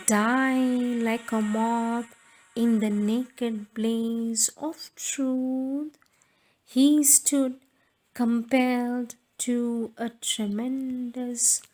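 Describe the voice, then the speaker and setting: A woman reciting spiritual poetry solemnly, in measured, deliberate phrases with frequent pauses, as a reader of verse. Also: high-pitched. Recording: music under the voice. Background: background music.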